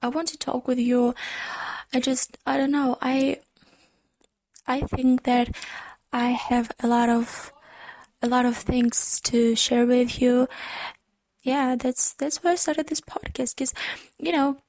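A woman with a Ukrainian accent hosting her own podcast from her room, speaking gently and earnestly with many hesitant restarts. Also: high voice, flat delivery, slow pace, long pauses, no room sound, low-quality recording. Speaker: podcast host. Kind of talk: casual solo podcast talk.

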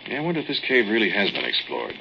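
A relaxed, musing fellow, lowish and lively, dull old radio sound. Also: male voice, very fast, noisy background. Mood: casual. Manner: casual, musing. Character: easygoing man pondering ancient cavemen. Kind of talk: 1940s radio acting.

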